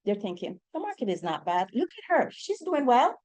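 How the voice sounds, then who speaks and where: A woman's voice: a little high, very animated, moderate pace, darkish tone. A middle-aged woman from Jamaica with a Jamaican accent, speaking on a real estate panel in an emphatic way, putting rhetorical questions to the audience. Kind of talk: panel discussion.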